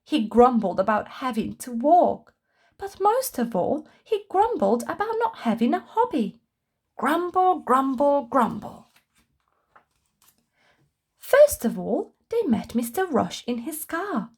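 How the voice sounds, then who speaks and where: Woman, children's read-aloud narration, highish pitch, slow pace, expressive, breathy edge. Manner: expressive, deliberate storytelling for young children. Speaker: children's story reader.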